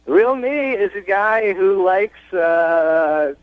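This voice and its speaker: A man's voice: sits high, animated, takes it slowly, no room sound. A man phoning in to a radio show, chatting casually and rambling through his story in a self-deprecating way. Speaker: radio show caller seeking dating advice. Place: phone call to radio show. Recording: dull phone-in line on radio.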